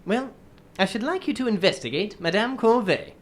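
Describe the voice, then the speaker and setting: A woman playing a shrewd, composed English gentleman detective in a radio drama, telling his case in formal, measured phrases. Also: mid-range voice, big pitch swings. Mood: polite, probing.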